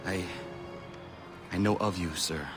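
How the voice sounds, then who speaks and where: A man's voice: sits low, steady tone, slow, music in the background. An actor playing a stoic, earnest young male warrior and hunter in a video game cutscene. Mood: solemn.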